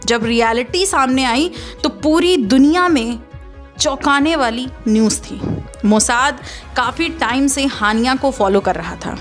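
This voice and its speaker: A woman's voice: high voice, unhurried. A woman presenting a news explainer as scripted narration. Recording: music behind the voice.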